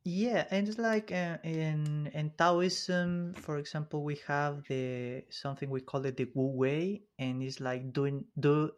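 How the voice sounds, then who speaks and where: A man from Mexico with a Mexican accent, hosting a podcast interview and pausing to search for his words. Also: medium pitch. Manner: searching for words, halting, many restarts. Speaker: podcast host.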